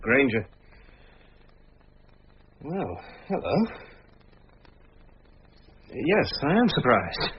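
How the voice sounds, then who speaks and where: Muffled radio drama. Guarded, dry man on a call, extremely slow delivery. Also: mid-range voice, big pitch swings. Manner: terse, deliberate. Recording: dull radio recording, faint background noise.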